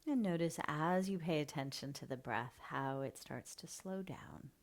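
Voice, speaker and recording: A woman leading a guided breathing meditation, speaking softly and slowly, often half-whispering. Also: sits low. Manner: slow, soft and soothing, often half-whispered.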